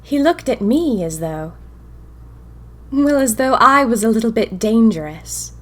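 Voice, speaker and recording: Wry, whimsical young typist played by a woman. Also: on the high side. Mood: intrigued, smitten. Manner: wry, expressive, dramatic pauses. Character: whimsical, bored young 1920s typist confiding in her diary. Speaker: narrator of a fiction podcast. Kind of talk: first-person diary audio fiction narration.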